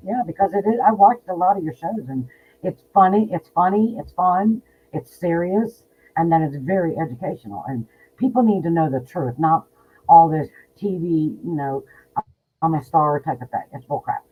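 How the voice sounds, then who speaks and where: A woman's voice: low-pitched, expressive, normal speed, husky. A woman in her fifties, a psychic medium guest, talking bluntly and outspokenly in a casual interview, often repeating words. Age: 50s. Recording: tinny phone line with faint noise.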